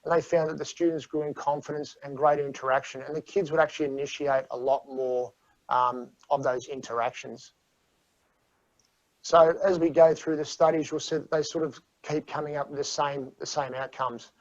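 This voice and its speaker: A man's voice: a little high, fairly even intonation, normal speed, no room sound. A man presenting a webinar to teachers in a casual, chatty way over a video call. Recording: dull dry video call audio.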